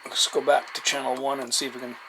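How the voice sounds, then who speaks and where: A man chats casually in a halting, laid-back way, using CB radio jargon like a hobbyist at his desk. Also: medium pitch, little pitch movement. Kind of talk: casual radio chat and demo narration. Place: indoor desk beside CB radio sets. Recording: noisy room with radio hiss. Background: radio static and room noise.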